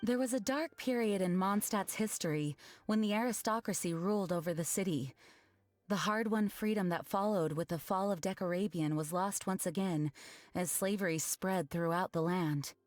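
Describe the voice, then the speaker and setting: A woman playing an earnest, dutiful young knight commander in an anime video game, speaking in a measured, formal way. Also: fairly low pitch, a little mellow, dry recording, natural voice. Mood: solemn, explanatory. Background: background music.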